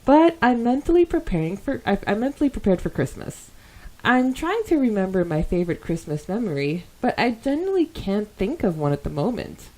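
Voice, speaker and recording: A woman co-hosting a podcast, chatting casually and enthusiastically as she reads out and reacts to listener comments, quick to giggle. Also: mid-range voice, big pitch swings, darkish tone, rough recording. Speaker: podcast co-host. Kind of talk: casual podcast talk, reading and discussing fan comments.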